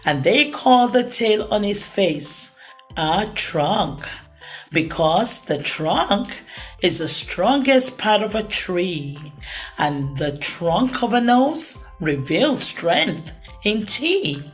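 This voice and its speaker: A woman reading aloud to children as a storytime host, in an expressive storybook narration style. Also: mid-range voice, takes it slowly, faint music behind.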